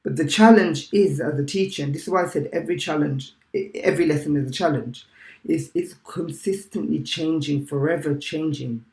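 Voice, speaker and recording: A man's voice: on the high side, a little fast. A British-born man who is a teacher, talking in a casual interview and often checking in with "right?". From United Kingdom. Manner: animated, keeps checking in with "right?". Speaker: teacher, guest. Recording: echoey room.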